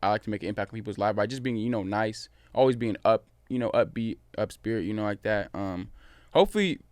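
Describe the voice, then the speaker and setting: A man in his twenties from Washington State, a podcast guest talking in a relaxed, easygoing way. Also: fairly low pitch, fast, clear, resonant tone, dry recording. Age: 20s. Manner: relaxed, easygoing, many fillers. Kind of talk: casual podcast chat.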